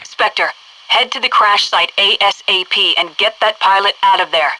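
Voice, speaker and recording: An actress playing a composed, commanding headquarters officer who gives crisp, businesslike mission orders over military radio in a video game. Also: female voice, mid-range voice, normal speed, background noise.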